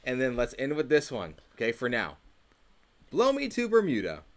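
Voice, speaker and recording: A young man co-hosting a church youth show, running a movie quiz in a relaxed, teasing, slangy way. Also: mid-range voice, moderate pace. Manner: laid-back, teasing, slangy. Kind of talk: casual chat, quiz hosting.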